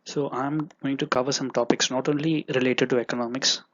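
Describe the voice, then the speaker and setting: A man's voice: medium pitch, steady tone, brisk. A young man whose first language is Tamil, teaching economics in an earnest lecture with frequent fillers and repeats. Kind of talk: lecture with examples. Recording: dull lo-fi recording.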